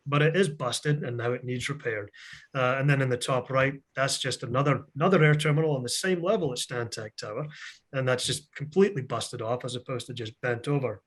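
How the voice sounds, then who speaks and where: A middle-aged man from Scotland with a mixed Scottish and American accent, presenting a virtual technical seminar over a video call in a chatty, joking, energetic way. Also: mid-range voice.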